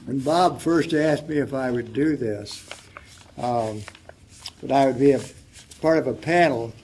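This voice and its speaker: An older man reading a letter aloud as a panelist at a public forum, soft-spoken and reflective. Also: medium pitch, unhurried, slight background noise, low-quality recording. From United States.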